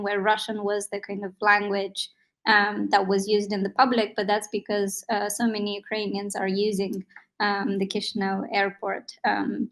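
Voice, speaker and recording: Woman with a gravelly, flat voice. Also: medium pitch. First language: Romanian. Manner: hesitant, many fillers and restarts. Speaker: panel guest. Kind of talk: thoughtful spoken answer.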